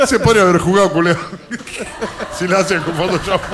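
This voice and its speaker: A man's voice: high-pitched, moderate pace. An Argentine man bantering as co-host of a comic radio show. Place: bar, live radio broadcast before patrons. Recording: live bar crowd behind.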